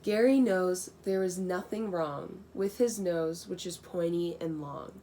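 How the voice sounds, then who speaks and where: A woman's voice: on the low side, unhurried, dark tone, slight background noise. A young adult woman reading a rhyming children's storybook aloud, breaking into slangy, casual, giggly asides.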